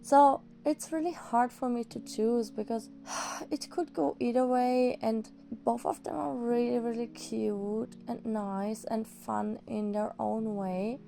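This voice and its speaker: A young woman, slightly hoarse after an illness, rambling enthusiastically as she reviews manga in a casual vlog. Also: fairly high pitch, fairly even intonation, slow pace, mellow, faint music behind. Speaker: manga vlogger. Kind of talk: casual book review chat.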